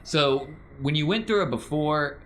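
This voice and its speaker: A man's voice: fairly high pitch, animated, measured pace. A man acting as game master, narrating and refereeing a tabletop role-playing game with a theatrical touch. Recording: faint background noise.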